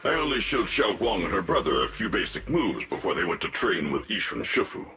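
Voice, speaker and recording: An actor playing a stern but humble martial arts master in a video game, speaking in a measured, grave, lecturing way with a gravelly voice. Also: male voice, sits low, sing-song, pushed and bright, exaggerated voice. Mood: calm, humble. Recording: roomy echo, music underneath.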